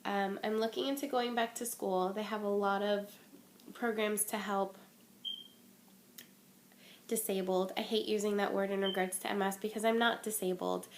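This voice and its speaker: A young woman talks to her camera at home in a relaxed, candid way, full of small fillers and restarts. Her mid-range voice sounds a little stuffy and worn from a cold. A faint hum sits behind her. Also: mid-range voice, normal speed, pauses often. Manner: chatty and candid, many ums and restarts. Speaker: vlogger. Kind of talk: casual talking-to-camera update. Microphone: phone or webcam.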